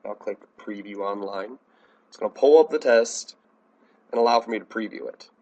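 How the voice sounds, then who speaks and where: An American man teaching a software tutorial in a plain, step-by-step instructional manner. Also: fairly low pitch, normal speed, slightly rough, muffled recording. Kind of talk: instructional screencast narration.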